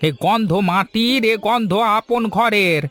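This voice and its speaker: A man voicing a friendly, teasing village uncle in an animated family drama. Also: high voice, takes it slowly, soft background music. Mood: cheerful, teasing.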